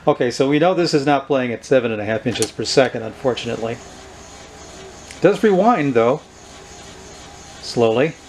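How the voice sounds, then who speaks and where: A man gives a casual hands-on demonstration as host of a homemade video. His voice sits at a medium pitch and he explains things informally, without hurry. Some noise runs behind him. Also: moderate pace, long pauses. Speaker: host of a vintage electronics video. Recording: clear steady background noise.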